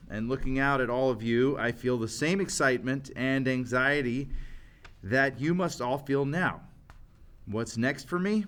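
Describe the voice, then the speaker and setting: A man's voice: mid-range voice, a bit bright, close to an everyday voice. A man playing an earnest, self-mocking office worker giving a speech in a sitcom script reading.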